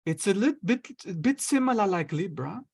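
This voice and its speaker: A man with a somewhat high voice talks through ideas as a guest in conversation. He explains steadily and slips into short acted-out inner thoughts to make his points. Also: expressive, moderate pace, gravelly, darkish tone. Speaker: astrologer guest.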